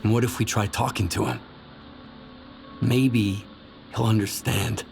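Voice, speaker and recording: An earnest, questioning stalker in a video game cutscene. His voice is gravelly and fairly low. Clear ambient noise runs underneath. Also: fairly even intonation, measured pace, a little mellow. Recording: noisy ambience behind voice.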